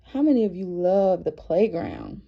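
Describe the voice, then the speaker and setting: A woman teacher reading a picture book aloud to young children, expressive and encouraging, often asking the listeners questions. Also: lowish voice, a little slow, creaky. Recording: dull, muffled lo-fi sound.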